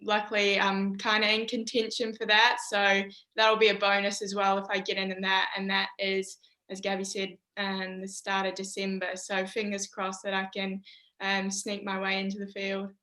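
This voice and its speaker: A young woman, a university golfer answering interview questions casually and pausing often on "um". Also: medium pitch, roomy sound, low-quality recording. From New Zealand. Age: young adult. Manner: relaxed, many "um" pauses. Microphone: video call.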